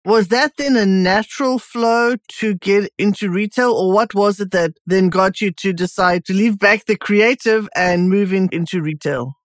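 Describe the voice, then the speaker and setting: Upbeat woman host, mid-pitched, eager questions, recorded dry and close. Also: moderate pace, hardly any pauses, no echo. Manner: upbeat, enthusiastic. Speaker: podcast host. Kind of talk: scripted intro and interview questions.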